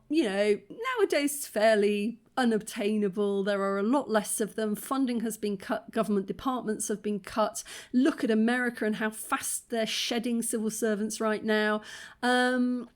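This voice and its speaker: A woman hosting a reaction video with a croaky voice, talking in an outspoken, indignant way with plenty of fillers. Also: a little high. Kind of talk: casual reaction commentary.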